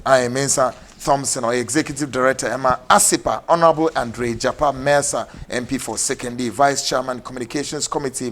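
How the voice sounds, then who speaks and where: A man with a Ghanaian English accent hosting a TV talk show, mixing English and Twi in brisk announcements. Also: medium pitch, fairly bright tone. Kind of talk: TV political talk show, closing with ads. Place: TV studio.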